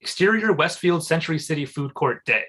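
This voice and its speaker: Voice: mid-range voice, expressive, fairly quick, fairly bright tone. A narrator reading scripted stage directions aloud at a live comedy table read.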